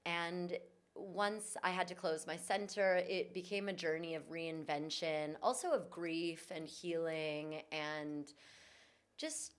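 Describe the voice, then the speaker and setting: A woman's voice: fairly low pitch, fairly even intonation, slow pace, smooth. A woman guest, a yoga teacher and vocal coach, telling her story in an earnest, reflective way during an interview. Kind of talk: conversational interview. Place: in Bali.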